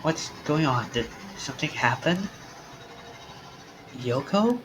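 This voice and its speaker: A man's voice: fairly high pitch, expressive, slow, background noise. A man voicing a frightened young man whose friend has collapsed, reading a visual novel aloud and stammering in panic, with game music behind. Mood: uneasy. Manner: stammering, panicky, then quietly resolved.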